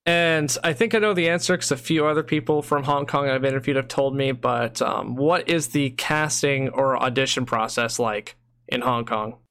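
A man's voice: mid-range voice, fairly bright tone. A man hosting an interview podcast, asking friendly questions and laughing along with his guest. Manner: friendly, laughs often, quick follow-up questions.

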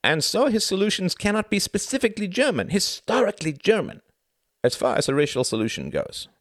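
Male narrator as zealous young nationalist man from Germany, audiobook character, lifted pitch, sweeping intonation, scornful, rhetorical, insistent. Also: big pitch swings, brisk, a bit bright, no echo, studio quality, heavily acted. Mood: exultant.

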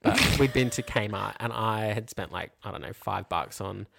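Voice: sits low, normal speed, vocal fry, heavy background noise. A podcast co-host telling casual, comic anecdotes and acting out the quoted dialogue as they go. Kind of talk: casual comic storytelling chat.

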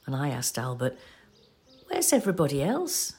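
A devoted, patient woman in an audio play. Her voice sits a little low as she gently retells a cherished love story in a measured way. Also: huge pitch swings, slightly rough, soft background music, exaggerated voice. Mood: tender, amused. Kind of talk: audio drama acting, storytelling.

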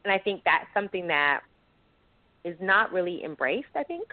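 A woman's voice: on the low side, sing-song, moderate pace, dry recording. Earnest woman on a tinny phone line. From United Kingdom, raised in Florida. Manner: earnest, outspoken, with many restarts. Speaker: guest, educator and writer. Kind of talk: call-in radio interview.

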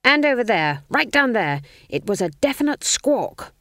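A woman voicing a stern, suspicious elderly witch who speaks in sharp, demanding questions. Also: on the high side, very animated, moderate pace, dry recording, clean studio sound, pitched above the performer's own voice.

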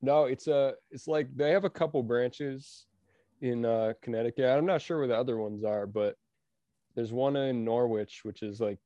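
Casting: young male podcast host, mid-pitched, laid-back, dry close-mic sound. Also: dark tone, dry recording, muffled recording. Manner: easygoing, halting with fillers and restarts. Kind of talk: casual sports podcast chat.

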